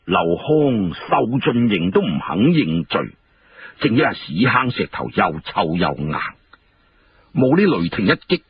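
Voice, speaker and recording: A man with a Cantonese accent narrating a historical story in a dramatic storytelling style. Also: sits low. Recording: muffled, clearly noisy radio-like recording.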